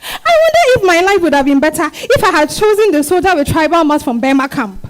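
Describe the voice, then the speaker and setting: A woman's voice: high voice, big pitch swings, fast talker. A woman with a Ghanaian accent acting a defiant, exasperated wife and mother in a dramatized stage reading. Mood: wistful, aggrieved. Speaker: stage actor.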